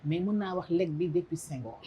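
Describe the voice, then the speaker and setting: A middle-aged Senegalese woman with a Senegalese accent and a low, dark, mellow voice, with faint noise behind it. She answers interview questions in a measured, thoughtful way and often pauses to hesitate. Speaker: interview guest. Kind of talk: casual interview talk mixing French and Wolof.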